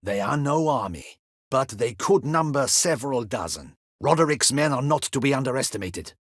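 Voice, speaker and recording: An actor playing a stern, proud, dutiful captain of a ducal guard in a fantasy video game, with a formal, clipped, haughty delivery and a husky voice. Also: male voice, mid-range voice, animated, normal speed, no echo, studio quality, close to an everyday voice. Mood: grave, formal.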